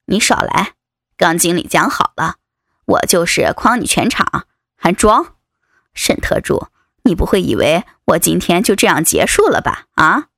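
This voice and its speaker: A woman voicing a domineering, taunting male vice president in an adult audiobook, with a husky, creaky voice and a mocking, commanding manner. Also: lowish voice, animated, a little slow, fairly bright tone, no echo, clean studio sound, pitched below the performer's own voice, exaggerated voice. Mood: impatient, menacing. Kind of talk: dramatized adult audiobook dialogue.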